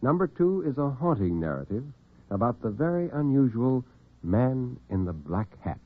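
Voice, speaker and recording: An American man telling dramatic tales as host and storyteller in classic radio style, theatrical and expressive. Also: medium pitch, normal speed. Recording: narrow-band vintage radio recording, muffled.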